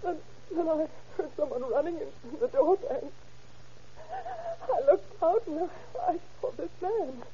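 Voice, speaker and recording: Shaken lady witness, old radio crime show, soft dark tone, muffled noisy recording. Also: extremely high pitch, big pitch swings, heavily acted. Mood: tearful, distraught. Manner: halting, faltering, repeating herself. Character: shocked, distraught woman witness to a murder. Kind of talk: old-time radio crime drama acting.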